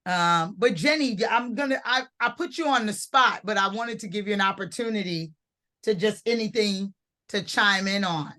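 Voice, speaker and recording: A woman's voice: mid-range voice, normal speed, pushed and bright. A woman hosting a casual panel talk, earnest and rambling, with many restarts. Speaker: host.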